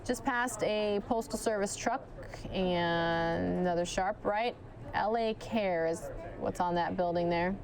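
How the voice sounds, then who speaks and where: A woman news anchor giving live commentary on a police chase, chatting directly with viewers, her voice starting to go hoarse. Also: on the low side, measured pace, crowd noise. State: losing her voice.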